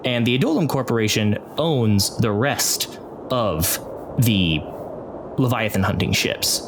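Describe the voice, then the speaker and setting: A man narrating and running a tabletop role-playing game, explaining the setting in a clear storytelling manner. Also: lowish voice, lively intonation, measured pace, noisy background. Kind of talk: recap narration and game mastering. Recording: music bed under voice.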